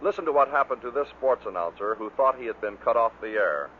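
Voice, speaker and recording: A man's voice: mid-range voice, lively intonation, fast talker. Male narrator, scripted bloopers-record links, thin old radio sound with faint noise. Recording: noisy, tinny vintage radio recording.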